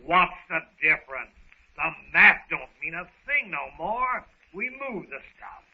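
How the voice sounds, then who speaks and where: An actor playing a vicious, boastful Cockney pirate ringleader in a 1930s radio serial, on an old recording. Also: male voice, a little high, very animated, unhurried, breathy edge, lo-fi sound, heavily acted. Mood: menacing, boastful. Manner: menacing, boastful, slangy.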